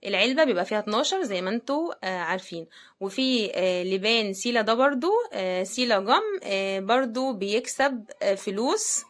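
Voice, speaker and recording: A woman's voice: mid-range voice, animated, quick pace. A woman with an Egyptian Arabic accent chats casually to her viewers, commenting as she hosts an unboxing video. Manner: chatty, addresses viewers directly. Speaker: host of product unboxing videos.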